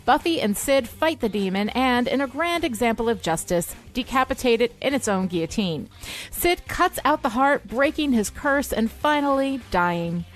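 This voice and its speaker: A woman's voice: a little high, expressive, moderate pace. A woman podcast host with a wry manner, moving between scripted recap and casual chat.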